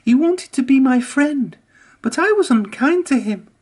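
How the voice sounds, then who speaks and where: A reader voicing Emily, an anxious young female steam engine, in a high, breathless, fretful storybook voice. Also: animated, a little slow, darkish tone. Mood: remorseful. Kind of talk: storybook character voice.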